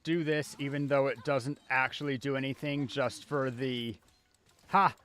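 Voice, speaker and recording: A man's voice: medium pitch, fairly even intonation, a little slow, fairly bright tone, clean tone. A man giving casual live commentary as he plays a video game, talking half to himself as a streamer. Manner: casual, muttering self-talk while playing.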